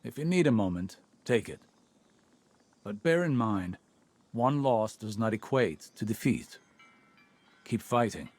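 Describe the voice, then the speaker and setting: An actor playing an analytical, stern but steadfast veteran scholar in dramatic game dialogue, serious and deliberate. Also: male voice, lowish voice, expressive, darkish tone. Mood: firm.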